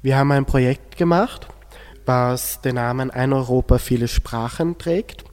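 A man's voice: mid-range voice, unhurried, a little mellow. Teenage Austrian boy hosting, long reverb. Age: teens. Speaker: student radio host and moderator. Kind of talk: moderated radio discussion.

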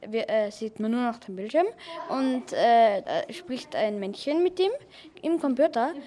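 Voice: mid-range voice, lively intonation, measured pace, dark tone. A child interviewee casually retelling a story idea, stumbling and hesitant.

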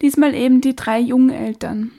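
A woman's voice: high-pitched, fairly even intonation, moderate pace, dark tone. A woman radio presenter reading a scripted introduction.

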